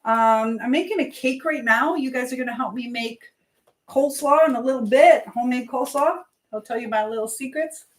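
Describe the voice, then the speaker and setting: A woman's voice: high-pitched, a little fast. A middle-aged American woman hosting a casual live stream, chatting in a rambling way as she answers viewers and drifts between asides.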